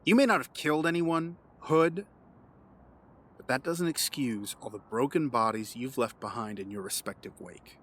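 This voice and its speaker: An actor playing a calm, condescending, menacing villain mastermind in an audio drama, measured and taunting. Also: male voice, medium pitch, a little hiss or hum, natural voice. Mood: condescending, accusing.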